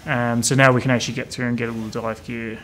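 A man, a cave diver, calmly explaining an expedition in a documentary interview. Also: mid-range voice, fast talker, background noise.

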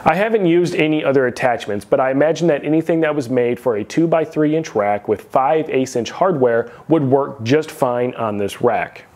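Male reviewer, 30s, garage gym, detailed and matter-of-fact, average pitch. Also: moderate pace, hardly any pauses, darkish tone. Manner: methodical, detailed, plain-spoken. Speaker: equipment reviewer. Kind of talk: product review to camera.

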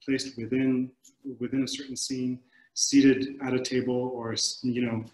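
A man in his forties from the Middle East, a film director giving thoughtful, halting answers on a video call panel. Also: mid-range voice, flat delivery, darkish tone. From Middle East, born in Kuwait. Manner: halting, searching for words. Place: indoors, book-lined room at home. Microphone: computer mic on a video call. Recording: echoey room over video call.

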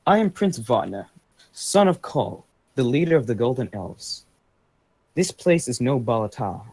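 A man acting a haughty, animated elf prince. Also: mid-range voice, measured pace, husky, full character voice. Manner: pompous, condescending. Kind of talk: character voice acting audition.

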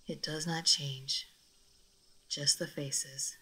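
A woman playing a gentle, melancholy old vampire lady in an intimate roleplay audio drama, speaking softly and formally. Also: low-pitched, steady tone, extremely slow, a little hiss or hum, exaggerated voice, rough recording. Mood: wistful, reflective. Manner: soft, formal, wistful, sometimes near whispering.

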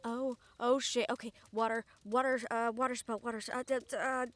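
Higher-voiced, excitable young mage played by a woman. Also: no echo, lightly acted. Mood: shocked, flustered. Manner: animated, halting, swearing freely. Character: excitable, caring young woman mage testing magic runes. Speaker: voice actor in audio roleplay. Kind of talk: intimate scripted audio roleplay acting.

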